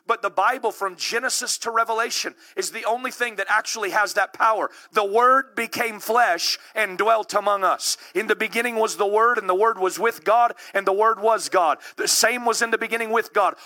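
A man in his thirties preaching a sermon with rising passion, calling out to the congregation and building to shouts. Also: high voice. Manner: calls out to the congregation.

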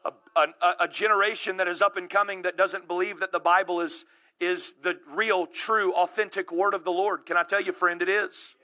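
A man in his thirties preaches with fervor, pushing up into shouts and calling out to the congregation. His voice sits high for a man. It has a very bright, ringing tone. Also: steady tone, normal speed. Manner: impassioned, builds to shouting; calls out to the congregation. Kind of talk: sermon.

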